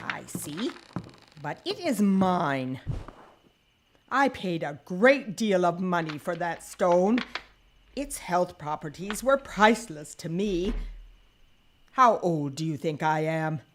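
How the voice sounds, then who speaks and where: A woman playing a weary, sardonic old woman in an audio drama, with a deliberate, dry and scornful manner. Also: fairly low pitch, animated, slight background noise. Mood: guarded, bitter. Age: older.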